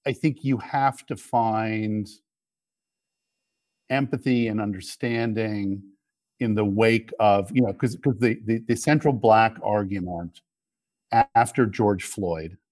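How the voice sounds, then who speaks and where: A man speaking as a guest in a casual political discussion, measured and hesitant, restarting his phrases. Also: on the low side, a little mellow, no echo. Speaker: podcast guest, media executive.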